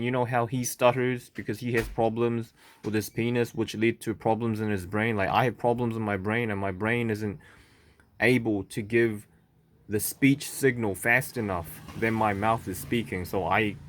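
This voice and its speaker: A man from South Korea speaking English with a Korean accent, talking to camera in a halting, stuttering way with many fillers and "okay?" checks. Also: lowish voice. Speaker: vlogger. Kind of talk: unscripted monologue to camera.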